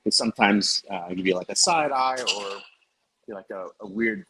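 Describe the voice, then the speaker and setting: A man's voice: fairly low pitch, moderate pace, sharp tone, a little hiss or hum, low-quality recording. A man from the United States, a chef, chatting easygoingly in an interview over a remote call, with lots of fillers. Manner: easygoing, self-deprecating, many fillers. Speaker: chef and guest. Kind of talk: casual interview chat. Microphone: remote call on the move.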